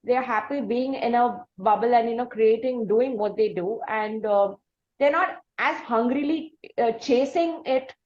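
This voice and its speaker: Female podcast host, Indian accent, slightly high voice, wordy and halting delivery, lo-fi sound. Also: darkish tone. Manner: wordy, hesitant, circling questions. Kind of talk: conversational interview. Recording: dull lo-fi audio.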